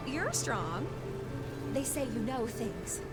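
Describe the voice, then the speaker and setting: An actress playing a devoted, theatrical Southern belle socialite in a video game, with an American Southern accent and an emotive, folksy way of talking. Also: female voice, on the high side, sing-song, takes it slowly. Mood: hesitant, earnest. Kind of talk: dramatic video game voice acting. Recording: music loud under the voice.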